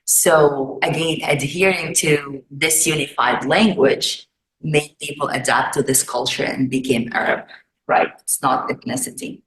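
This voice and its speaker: A woman in her forties who grew up in Egypt speaks to a community gathering. Her voice sits low for a woman, and she talks in a loose, chatty way with plenty of fillers. The sound carries a roomy echo from the meeting room. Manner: chatty, uses "right?" and "um" often. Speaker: forum speaker. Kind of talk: informal presentation to a community audience.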